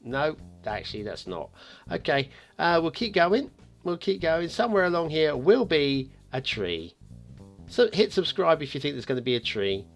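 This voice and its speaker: A man's voice: a little high, big pitch swings, normal speed, soft background music. A man hosting a game map review in a chatty, playfully teasing way, commenting casually and off the cuff.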